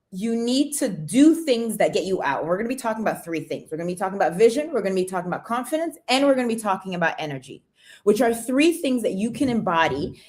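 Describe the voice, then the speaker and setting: A woman's voice: mid-range voice, a little mellow. A woman hosting a motivational livestream, chatting casually and greeting viewers by name. She often tags her points with "right?" and English is not her first language. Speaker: livestream host, supplement seller.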